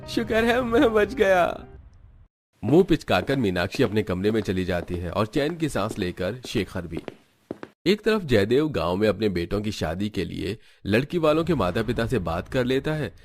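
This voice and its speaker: A man's voice: lowish voice, sing-song, moderate pace, a little mellow, slight background noise. A man reading scripted narration as the storyteller of a family tale.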